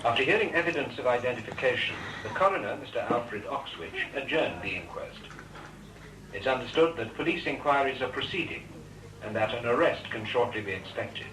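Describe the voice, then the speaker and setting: A man's voice: mid-range voice, lively intonation, brisk. An actor playing a formal, impartial male newsreader, heard over a radio set in a 1970s drama. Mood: formal, measured. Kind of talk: scripted news reading. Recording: noisy, echoey, distant radio set.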